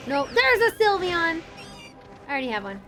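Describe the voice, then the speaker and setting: A woman streaming a game live, chatting with viewers and reacting excitedly, her voice worn out and tired. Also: very high-pitched, moderate pace, soft background music. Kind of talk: casual live-stream commentary.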